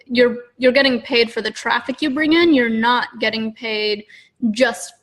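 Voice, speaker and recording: A woman's voice: a little high, fairly even intonation, a little slow. A woman co-hosting a podcast in casual conversation, arguing her points informally and voicing little example quotes. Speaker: podcast co-host.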